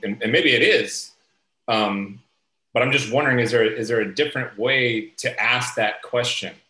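Bright-toned man probing in an echoey room. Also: on the low side. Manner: probing, hesitant, stumbling repeats. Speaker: podcast co-host. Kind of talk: casual podcast conversation.

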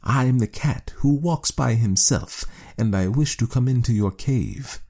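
A man voicing a proud, sly wild cat in a storybook, drawing out his words slowly. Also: mid-range voice, a little mellow, voice pushed up. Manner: slow, drawn-out and sly.

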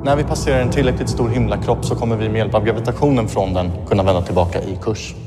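An actor playing a spaceship officer making a formal, official announcement to passengers in a film. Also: male voice, lowish voice, racing, close to an everyday voice. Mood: grave, formal. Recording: film clip, long reverb, loud music.

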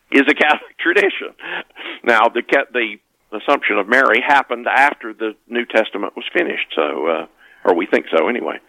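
A man's voice: medium pitch, pushed and bright, no echo, low-quality recording. A man speaking as an author guest on a podcast, explaining things conversationally and emphatically, often repeating and rephrasing his points.

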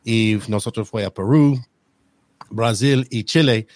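Host, male, native English speaker, halting Spanish with English slips, dry close sound. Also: lowish voice, darkish tone, no echo. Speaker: podcast host. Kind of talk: casual podcast intro.

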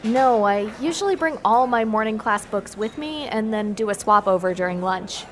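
A young woman playing an uneasy high school girl in a naturalistic audio drama. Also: lively intonation, moderate pace, a little hiss or hum, lightly acted.

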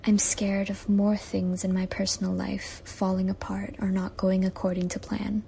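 A woman in her twenties from Malaysia, reading a personal essay aloud in an earnest, measured way with repeated refrains. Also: medium pitch, monotone, creaky, dark tone, a little hiss or hum. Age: 20s. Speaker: guest essayist. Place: childhood bedroom at home.

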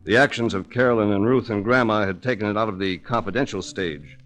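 An actor plays a suave American male investigator in a 1940s radio drama. His voice sits low, and he speaks with measured, articulate confidence and a wry edge, like someone telling his own case. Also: a bit bright, soft background music, lightly acted. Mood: grave, thoughtful.

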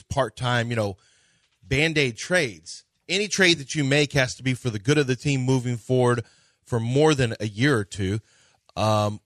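A man's voice: medium pitch, a bit bright, no echo, clean studio sound. Man rambling on sports radio. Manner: rambling, joking, restarts sentences often. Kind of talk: casual sports talk.

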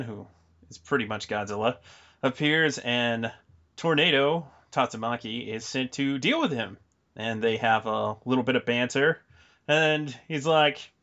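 A man's voice: mid-range voice, pushed and bright. Easygoing male podcast host. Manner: easygoing, quick plot summarizing. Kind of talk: casual podcast chat and recap. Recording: slightly dull podcast audio.

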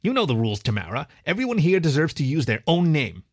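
A man's voice: a little high, sing-song, quick pace, a little mellow, dry recording, clean studio sound, pitched above the performer's own voice. A man voicing a bossy, irritable young feline club leader with a formal, commanding manner in a storybook. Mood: irritated.

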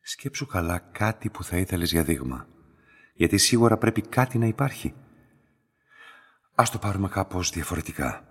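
Mysterious middle-aged lab master, radio drama, very low rough-soft voice, calm probing delivery, long reverb. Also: male voice, pauses often, exaggerated voice. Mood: probing, insistent. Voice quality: deep, husky. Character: enigmatic, calm, quietly insistent man who runs a specimen laboratory.

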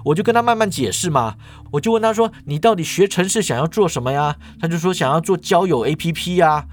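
Dejected young male student, rambling fast, voiced by a man. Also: a little high, lively intonation, slight background noise, voice pushed up, lightly acted. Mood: complaining.

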